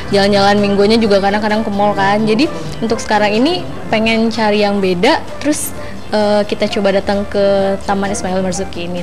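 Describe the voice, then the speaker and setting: A woman visitor answering an interviewer in a relaxed, chatty way. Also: mid-range voice. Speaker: exhibition visitor.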